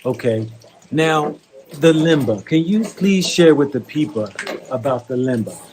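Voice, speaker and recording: A man's voice: mid-range voice, expressive, unhurried, darkish tone, slight background noise. A man interviewing a community leader with brief, prompting questions.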